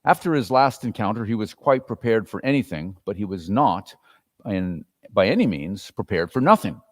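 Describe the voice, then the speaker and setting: A man's voice: lowish voice, very animated, moderate pace, big-room sound. A man narrating a classic story as a dramatic reader.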